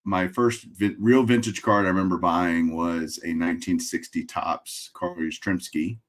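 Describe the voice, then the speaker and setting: Deep-voiced Texan man on a video call. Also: unhurried. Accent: Texas. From Texas. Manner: relaxed, dry humor, thinks out loud. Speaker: guest collector. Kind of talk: casual interview over video call. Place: room full of framed memorabilia. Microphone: webcam video call.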